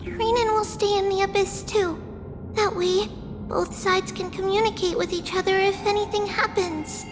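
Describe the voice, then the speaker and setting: A woman voicing a small, gentle, earnest childlike girl for a video game. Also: very high-pitched, fairly even intonation, moderate pace. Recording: reverberant, music behind.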